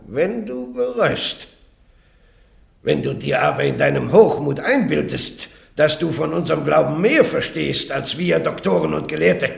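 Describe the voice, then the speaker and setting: A stern, gravelly church inquisitor. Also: male voice, a little high, reverberant, exaggerated voice. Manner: solemn, admonishing, grows heated. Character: stern churchly prosecutor interrogating a heretic. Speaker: church judge. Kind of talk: 1950s-60s German radio drama.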